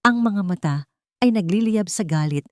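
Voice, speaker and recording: A woman's voice: medium pitch, animated, normal speed, darkish tone, no room sound, clean studio sound. A woman narrator reading a scripted romance story in a dramatic style.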